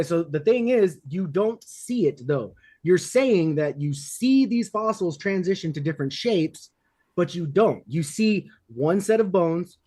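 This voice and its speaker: A man debating, making insistent, measured rebuttals. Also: fairly high pitch, a little mellow. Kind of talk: heated debate.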